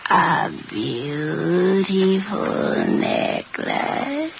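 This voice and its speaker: A performer playing a crazed, broken old woman, halting and eerie, in 1930s radio horror. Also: mid-range voice, wildly swooping pitch, gravelly, muffled recording, a little hiss or hum, heavily acted. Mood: eerie, deranged.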